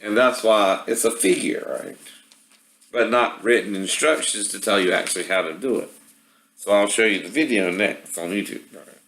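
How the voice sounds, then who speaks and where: An echoey home-video recording of a man with a fairly low voice. He talks casually and rambles through a product demonstration like a vlogger. He keeps checking in with a short "right?" at the end of his statements. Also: moderate pace, a bit bright. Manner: often tags statements with "right?". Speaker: vlogger showing product assembly problems.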